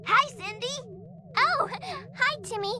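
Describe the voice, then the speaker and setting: Very high-pitched cartoon schoolgirl. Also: lively intonation, slow, full character voice. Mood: casual greeting. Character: chatty schoolgirl cartoon character in a video game. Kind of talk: cartoon video game voice acting. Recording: game clip under loud music.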